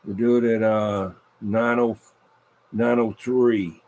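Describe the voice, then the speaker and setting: A man's voice: fairly low pitch, level tone, takes it slowly, darkish tone. A man hosting a game over the radio with informal, repetitive live chatter, announcing as he goes. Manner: informal, repeats himself.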